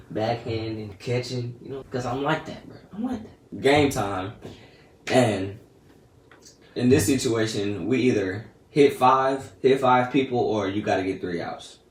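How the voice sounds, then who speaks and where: A teenage boy casually telling a story about his day in slangy, laid-back talk that often trails off soft and quiet. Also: on the low side, distant mic. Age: teens. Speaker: vlogger. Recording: echoey room, a bit off-mic, faint noise.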